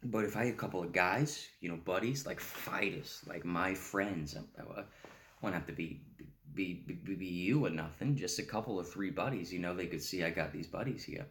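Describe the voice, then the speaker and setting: A man's voice: sits low, moderate pace, fairly bright tone. A man performing a stage monologue as a pathetic, childlike heroin addict, rambling, stammering and pleading as he repeats himself. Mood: wistful, jittery.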